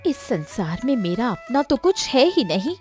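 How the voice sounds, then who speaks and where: A woman voicing an aggrieved, hot-tempered young village wife in an animated story, complaining with melodramatic emphasis. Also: on the high side, normal speed, soft background music. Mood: hurt, accusing.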